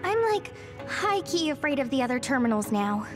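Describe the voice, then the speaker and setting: Perky teen girl, very high voice, informal, expressive, video game, music behind. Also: moderate pace. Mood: startled, puzzled. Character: perky, candid, easily startled teenage girl. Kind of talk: scripted video game voice acting.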